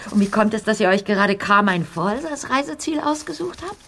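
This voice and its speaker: Older female gossip, animated, emphatic know-it-all, radio play. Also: medium pitch, brisk, a little hiss or hum. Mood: chatty, gossipy. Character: chatty, gossipy older small-town woman, know-it-all. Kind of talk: audio drama acting.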